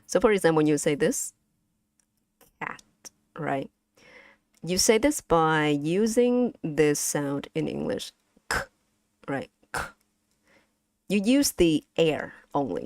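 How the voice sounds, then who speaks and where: Vietnamese-accented young woman streaming a language lesson, low voice, slow and careful, lots of ums, dry close mic. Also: a little mellow, dry recording. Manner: halting, slow and careful, with frequent fillers. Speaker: vtuber streamer teaching language. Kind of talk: casual language lesson to chat.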